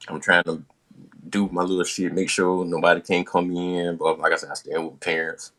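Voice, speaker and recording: A man hosting a podcast, telling a funny personal story casually with animated, slangy retelling and heavy swearing. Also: low voice, normal speed. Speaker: podcast host.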